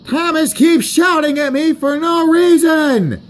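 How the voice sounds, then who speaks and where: A man voicing an anxious, shouty young male tank engine in a comic storybook parody, complaining loudly. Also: extremely high pitch, takes it slowly, a little hiss or hum, voice pushed up. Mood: whining.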